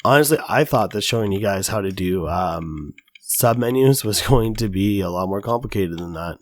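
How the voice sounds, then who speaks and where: Man, slightly low voice, raspy from recent illness, casual tutorial host with plenty of ums, dry close mic. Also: animated, moderate pace, dry recording. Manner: casual, chatty, many fillers. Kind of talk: screen-recorded coding tutorial.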